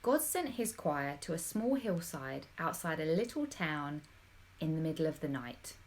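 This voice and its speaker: Expressive woman reading a children's story. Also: deep voice, a little slow. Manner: animated, dramatic, addressing young listeners directly. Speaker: storybook reader.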